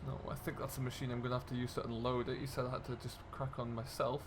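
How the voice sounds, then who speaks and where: A man's voice: medium pitch, flat delivery, quick pace. British man commentating over engine noise. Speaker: gaming video host playing a farm contractor. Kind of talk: casual role-play gameplay commentary. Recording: clear background vehicle and ambient noise.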